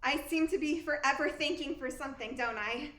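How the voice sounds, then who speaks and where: An actress playing a meek, gushing young aspiring actress in a radio play, earnest and deferential. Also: female voice, sits high, a little fast, sharp tone. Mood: humble, earnest. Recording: roomy, echoing sound.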